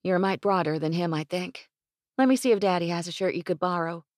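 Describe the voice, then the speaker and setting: A woman's voice: fairly low pitch, fairly quick, darkish tone, no echo, lightly acted. Lady reading as a sassy young ranch girl, drawly and bossy, dry close sound. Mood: playful.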